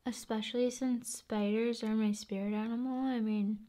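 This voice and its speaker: A woman chatting casually in an ASMR style, soft-spoken and often dropping into whispers, with long unhurried pauses. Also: a little high, fairly even intonation. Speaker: ASMR video host. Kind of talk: ASMR, casual rambling chat.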